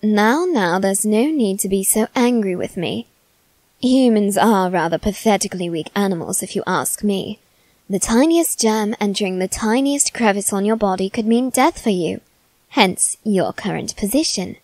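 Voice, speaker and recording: A woman voicing a condescending immortal demon boy in an ASMR roleplay, speaking softly with a teasing, smug manner. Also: mid-range voice, normal speed, no room sound, lightly acted. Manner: soft-spoken, teasing and condescending.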